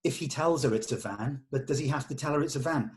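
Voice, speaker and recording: A man's voice: mid-range voice, fast. A man with a middling voice works through a script in an informal discussion. He thinks aloud and gives short, direct instructions about what to change. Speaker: script reader giving notes at a table read.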